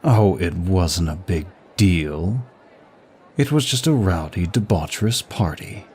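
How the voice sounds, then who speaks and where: A man playing a suave, teasing demon prince of lust in an ASMR roleplay, bored and sadistic, with a languid, husky delivery. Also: low-pitched, lively intonation, takes it slowly, a little mellow, a little hiss or hum. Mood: teasing, amused.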